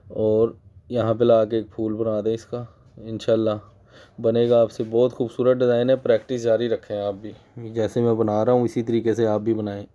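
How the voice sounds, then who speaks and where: A hoarse man with a Pakistani Urdu accent guides viewers step by step at his sewing machine, repeating key points patiently. His voice is mellow and dark, with frequent throat-clearing. The recording sounds dull and lo-fi. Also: mid-range voice. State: hoarse from a cold. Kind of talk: casual tutorial voice-over.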